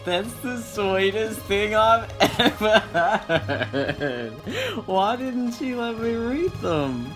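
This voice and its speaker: A man puts on a sweet, naive male hero for a visual novel. The voice is lifted high and gushes with affection and eagerness toward a best friend, while music plays clearly underneath. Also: expressive, extremely slow, fairly bright tone, heavily acted. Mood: delighted, coaxing.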